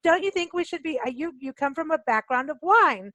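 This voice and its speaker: High-voiced woman hosting a chatty show. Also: expressive, a little fast, sharp tone, no room sound. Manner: effusive, repeats words for emphasis. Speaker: radio show co-host and travel publisher.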